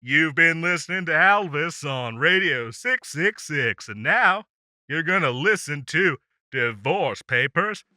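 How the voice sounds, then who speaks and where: An upbeat demon DJ acted by a man hosts a chart show from hell in an audio roleplay. His voice is very bright and forceful, a little high, and swings freely in pitch. He delivers his patter with teasing, showy enthusiasm, recorded dry and close. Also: lively intonation, measured pace, no room sound, exaggerated voice. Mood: upbeat, enthusiastic. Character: upbeat, chatty male demon radio DJ from hell. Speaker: radio host. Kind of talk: radio chart countdown, scripted audio roleplay.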